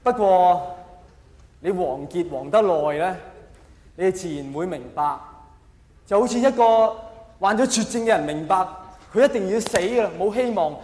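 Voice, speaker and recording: A man's voice: high-pitched, moderate pace, pauses often, a little mellow. A man with a Hong Kong Cantonese accent performing a theatrical, self-mocking stand-up monologue. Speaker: stand-up comedian. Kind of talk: stand-up comedy monologue to a live audience. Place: large live comedy venue. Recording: echoey hall, faint noise.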